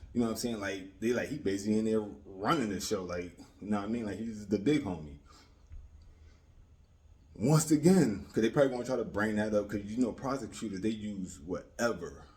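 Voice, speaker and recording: A man's voice: lowish voice, moderate pace, a little mellow. An American man giving casual, opinionated commentary in a slangy, streetwise way, repeating himself for emphasis and often checking that the listener follows. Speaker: YouTube commentator.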